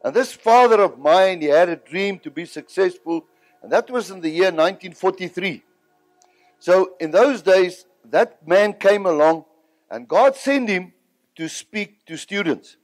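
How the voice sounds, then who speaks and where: High-pitched older South African man preaching. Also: animated, a little slow, no echo, soft background music, rough recording. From Southern Africa, born in Zimbabwe, raised partly in South Africa. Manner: emphatic, repeats phrases for effect. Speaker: preacher giving a testimony. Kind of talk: sermon-like personal testimony, storytelling to an audience.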